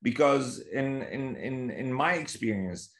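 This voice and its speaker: A man's voice: mid-range voice, slow pace, creaky. A middle-aged man from Kuwait giving an informal webinar talk in a hesitant, conversational way. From Kuwait, Palestinian by origin. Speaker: guest speaker, leadership consultant. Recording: echoey room on webinar microphone.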